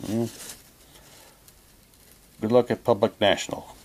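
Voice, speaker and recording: A man's voice: fairly low pitch, little pitch movement, slow, very noisy. A man casually reading printed material aloud and commenting on it, with offhand muttered asides. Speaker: unboxing presenter.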